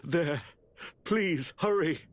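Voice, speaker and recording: A man playing a frightened, wounded theologian in a video game, speaking haltingly. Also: a little high, lively intonation, a little hiss or hum. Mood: anxious, urgent. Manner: halting, stammering. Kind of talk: video game cutscene dialogue.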